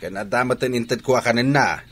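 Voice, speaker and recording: A man playing a gruff, disapproving, stubborn father in a Filipino radio drama. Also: mid-range voice, a little fast, fairly bright tone, lightly acted. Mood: gruff, annoyed.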